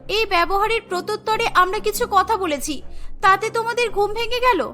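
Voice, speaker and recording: A woman's voice: extremely high pitch, fairly quick, sharp tone. A woman playing a wronged young daughter-in-law in a cartoon melodrama, plaintive and protesting. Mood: defensive. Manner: pleading, protesting. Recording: music bed behind the voice.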